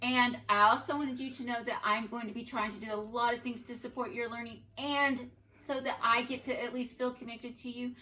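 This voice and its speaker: Bright-voiced teacher reading a storybook aloud. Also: female voice, a little high, a little fast, hardly any pauses. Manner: expressive reading with soft asides to children. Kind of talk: reading a picture book aloud to her class. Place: at home.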